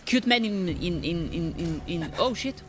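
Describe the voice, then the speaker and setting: A woman with a slightly low voice chats casually as a game reaction streamer. She rambles and hedges, thinking aloud as she goes. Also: expressive, unhurried, noisy background.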